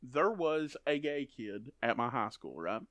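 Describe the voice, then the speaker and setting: A young man from South Kentucky, co-hosting a podcast and telling stories with lively acted-out quotes. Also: medium pitch, unhurried, no room sound. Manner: animated storytelling, acts out quotes. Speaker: podcast co-host. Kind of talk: casual chat.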